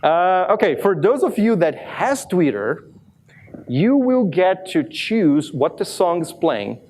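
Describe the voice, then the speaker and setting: A man from Brazil in his thirties with a strong Brazilian accent, giving a lively live demo talk at a conference, with many restarts and self-deprecating asides. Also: high voice, slow pace, a little hiss or hum. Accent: Brazilian Portuguese. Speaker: conference speaker. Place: large conference hall with audience.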